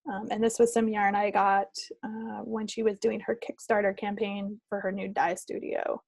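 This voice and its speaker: Casual woman with crackly vocal fry. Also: mid-range voice, flat delivery, moderate pace, a little mellow, dry recording. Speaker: co-host of a knitting video podcast. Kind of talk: casual chat.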